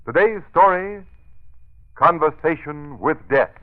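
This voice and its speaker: A man's voice: on the high side, animated, takes it slowly. A man narrating an old-time radio drama in a formal, dramatic announcer's delivery. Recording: phone-like old radio recording, faint music.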